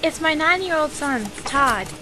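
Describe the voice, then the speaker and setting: An earnest, worried mother, played by a woman seeking help in an amateur radio drama. The voice is high and animated. Clear background noise runs through the homemade recording. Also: unhurried. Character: worried mother hiring a detective.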